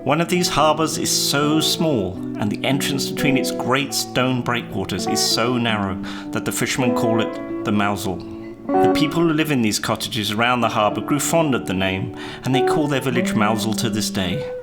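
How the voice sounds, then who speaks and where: A man reading a children's story aloud in a measured, expressive storytelling manner. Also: mid-range voice. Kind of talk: scripted children's story narration. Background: guitar music.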